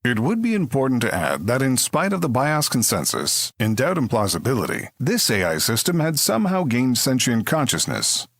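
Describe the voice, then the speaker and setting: A man's voice: medium pitch, wildly swooping pitch, normal speed, few pauses, a bit bright, exaggerated voice. A man playing a droll, pompous narrator of a comedic sci-fi audio drama. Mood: wry. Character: droll, wordy storybook-style sci-fi narrator. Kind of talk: scripted comic narration.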